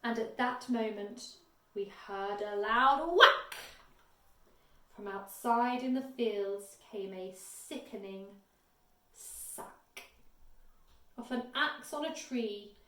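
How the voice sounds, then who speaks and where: A woman reading a children's storybook aloud expressively, in a measured teacher's manner. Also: mid-range voice, a bit bright. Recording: echoey room, far from the mic.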